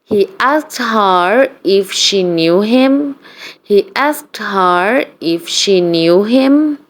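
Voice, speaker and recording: A woman reading short practice sentences aloud for learners, in an even, deliberate read-aloud style that says each sentence twice. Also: medium pitch, low-quality recording. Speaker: English practice reader.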